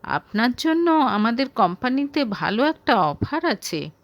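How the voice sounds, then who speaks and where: A woman's voice: a little high, big pitch swings, measured pace, no room sound, muffled recording. A woman voicing a pushy, lecherous businessman in an audio story, insinuating and insistent.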